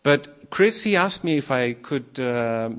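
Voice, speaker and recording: A man from Iceland, a former missionary, giving a nervous, halting sermon to a congregation with many pauses and fillers. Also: mid-range voice, lively intonation, fairly bright tone. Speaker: guest preacher.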